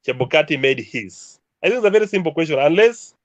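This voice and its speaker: Male panelist, Kenyan accent, slightly high voice, halting but pointed, lo-fi sound. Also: expressive, no echo. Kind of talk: political debate.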